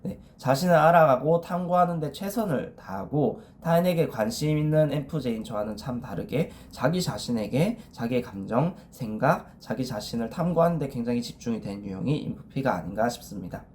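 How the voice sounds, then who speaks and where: A man's voice: medium pitch, expressive, a little fast, hardly any pauses, a little mellow, slight background noise. Chatty young man with a cold. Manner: chatty, frequent "네" fillers, numbered points. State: has a cold. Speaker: MBTI video creator. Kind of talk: casual explanatory monologue.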